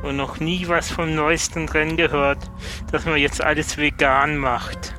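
A man's voice: a little high, little pitch movement, a little slow, over music, natural voice. A man playing a big, wary adventurer in an improvised audio drama, blunt and laconic in his delivery. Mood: dry, unimpressed. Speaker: listener guest player.

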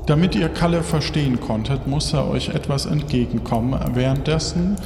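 A man narrating a tabletop role-playing game as game master, measured and somewhat hesitant. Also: medium pitch, background noise. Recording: echoey hall reverb with clear music.

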